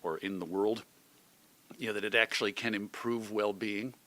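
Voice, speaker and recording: A middle-aged man from suburban Chicago giving reflective, measured answers as an interview guest, carefully qualifying his points. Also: on the low side, fairly even intonation, pushed and bright. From suburban Chicago, United States. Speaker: podcast guest, magazine editor and radio host.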